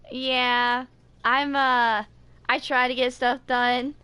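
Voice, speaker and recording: A woman in her twenties reading game lore aloud and commenting casually, informal and somewhat halting as she goes. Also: high voice, level tone, sharp tone, slight background noise. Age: 20s. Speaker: gaming video creator.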